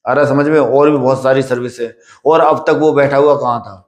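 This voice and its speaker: A man's voice: mid-range voice, steady tone, a little mellow. Man coaching in an echoey room. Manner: repetitive, halting, with filler phrases and check-questions; instructive, paternal address to students. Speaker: coach at a physical training academy for police and army recruitment. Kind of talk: informal advice talk to exam candidates.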